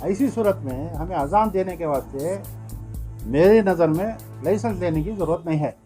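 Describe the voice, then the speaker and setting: A man giving an unscripted interview statement as a community representative, emphatic and repetitive, with halting phrasing. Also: high-pitched, dark tone, soft background music.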